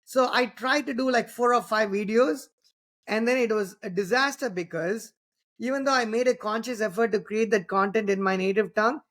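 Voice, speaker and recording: A man's voice: high-pitched, normal speed, a bit bright. A man from southern India with an Indian accent, a native Tamil speaker, hosting a podcast interview and talking in long, winding sentences full of "you know" and "right?". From Tamil Nadu, southern India. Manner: rambling, many restarts and "you know", "right?" tags. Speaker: podcast host. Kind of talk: casual interview conversation.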